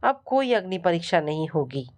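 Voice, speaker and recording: A woman's voice: lowish voice, big pitch swings, normal speed. A woman storyteller voicing a wronged middle-aged wife, anguished, weary and pleading.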